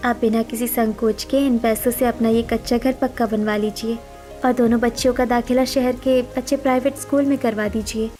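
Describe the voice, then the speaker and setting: A caring, well-to-do sister-in-law, played by a woman, speaks in a high voice. She is affectionate, earnest and coaxing in a cartoon family story. Music plays behind her. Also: flat delivery, fairly quick, mellow, natural voice. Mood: persuasive. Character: caring, persuasive, wealthy younger sister-in-law. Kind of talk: Hindi cartoon family melodrama.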